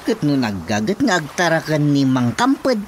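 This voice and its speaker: A man's voice: on the high side, very animated, normal speed, slight background noise. A man acting an agreeable village watchman in a comic radio drama, with an Ilocano accent. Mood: agreeable, chatty.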